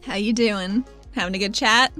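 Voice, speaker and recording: A woman's voice: medium pitch, moderate pace, sharp tone, faint music behind, lightly acted. A woman playing a doting, fiercely supportive daughter of nearly thirty in a tabletop game, teasing and emphatic. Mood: encouraging, fierce.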